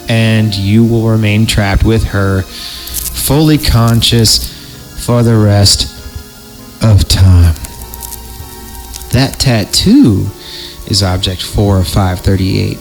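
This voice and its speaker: A man's voice: mid-range voice, rough recording. A man hosting a podcast, reading horror stories in a theatrical way and breaking off for jokey asides. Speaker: podcast host. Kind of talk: dramatic horror story reading with joking asides.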